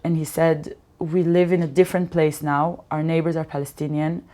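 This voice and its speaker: A woman from Israel, a guest comedian, telling lively stories in a casual interview and acting out quoted lines. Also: low-pitched, moderate pace. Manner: animated storyteller, acts out quotes.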